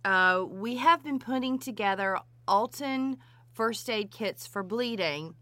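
Female co-host, scratchy, bright tone, teasing and giggly, dry close mic. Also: a little high, animated, takes it slowly, no room sound. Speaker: podcast co-host, nurse midwife. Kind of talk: casual podcast banter.

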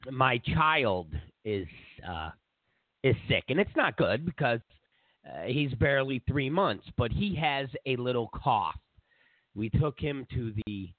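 A man's voice: mid-range voice, no room sound. A man with a cold and a stuffy voice hosts a political talk show in a sarcastic, rambling way. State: congested from a cold. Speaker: show host. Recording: tinny phone-like sound.

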